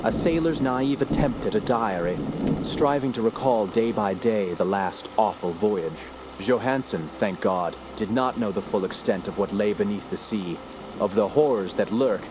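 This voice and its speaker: Actor as low, grave investigator narrating, noisy ambience. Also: male voice, lightly acted. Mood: ominous. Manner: solemn, literary, dramatic. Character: grave, dread-filled male investigator recounting his findings. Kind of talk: scripted first-person radio drama narration. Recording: clear background ambience noise.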